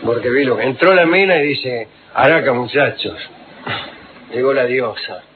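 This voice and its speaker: A man hosting radio, telling ironic, digressive stories with quick asides. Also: medium pitch, a crowd in the background. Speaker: radio host. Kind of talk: humorous radio talk. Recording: lo-fi phone-like archive radio with noise.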